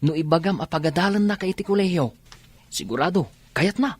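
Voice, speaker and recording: An actor playing a teasing, nagging friend in a radio drama, with an Ilocano Filipino accent. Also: male voice, a little high, lively intonation, fairly quick, breathy edge, dry recording. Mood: teasing, urging. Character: teasing, nagging close friend of a young driver.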